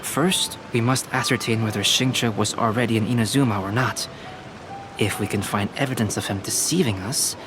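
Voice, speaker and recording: A man voicing a calm, composed young alchemist and artist in a video game, speaking in a measured, reasoning way. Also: on the low side, lightly acted. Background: game music.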